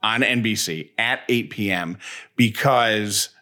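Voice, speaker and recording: A man's voice: on the low side, slow pace, sharp tone. A man co-hosting a podcast in casual, conversational banter. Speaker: podcast co-host.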